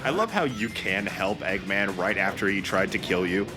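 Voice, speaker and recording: A man's voice: lowish voice, expressive, moderate pace, music in the background. Man bantering over a video game. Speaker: let's play commentator.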